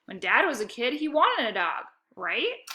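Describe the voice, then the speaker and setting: A woman's voice: on the high side, big pitch swings, unhurried, sharp tone, a little hiss or hum, pitched above the performer's own voice, heavily acted. Woman voicing a feisty, eager kid. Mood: eager, argumentative. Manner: emphatic, pleading, teasing. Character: eager, feisty child narrator, a dog-loving competitive sibling.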